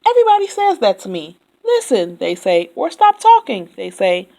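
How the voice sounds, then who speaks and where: A woman voicing a chatty male yak taxi driver who never stops talking, loud and indignant. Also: fairly high pitch, wildly swooping pitch, normal speed, vocal fry, full character voice, rough recording. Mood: chattering.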